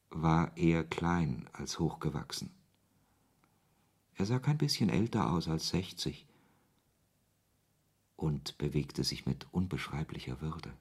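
An actor playing a wry author who narrates a radio play in the first person, in a husky voice. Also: male voice, very deep voice, heavily acted. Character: male author narrating his absurd encounter, wry and irritated.

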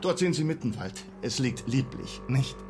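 A man's voice: medium pitch, lively intonation, normal speed, over loud music, natural voice. A man playing a disillusioned former teacher turned salesman in a German radio play, speaking in a wry, articulate way. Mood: bitter, resigned.

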